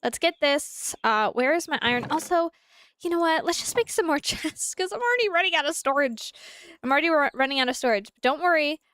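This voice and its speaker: A woman's voice: sits high, expressive, brisk, a bit bright. A woman streaming a game live, chatting to her viewers and the game's animals in a rambling way, slightly nasal from congested sinuses. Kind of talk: casual live gameplay chat. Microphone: desk mic at a computer.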